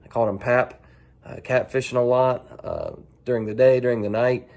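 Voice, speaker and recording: A man speaking casually and haltingly as an angler answering a set of profile questions, restarting phrases as he goes. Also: medium pitch, fairly even intonation, gravelly, darkish tone.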